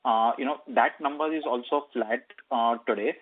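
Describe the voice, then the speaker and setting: A man's voice: mid-range voice, moderate pace. A man with an Indian accent politely putting questions as an analyst on an earnings call. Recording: narrow telephone conference line.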